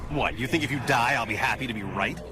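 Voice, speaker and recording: A man's voice: mid-range voice, fast, natural voice. A man voicing a sarcastic, irritable superhero in a video game, with a clipped delivery. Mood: exasperated. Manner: sardonic, clipped. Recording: loud music over the voice.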